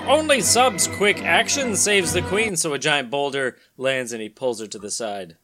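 A man's voice: fairly high pitch, unhurried, over music. A man hosting a comedic dramatic reading of a comic, switching between mock-dramatic narration and casual, jokey asides. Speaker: podcast host and narrator.

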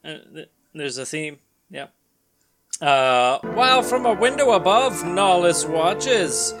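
Male podcast host, higher-pitched and animated, narrates a comic mock-dramatically with casual riffing, music behind. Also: slow pace. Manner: jokey asides between mock-dramatic narration.

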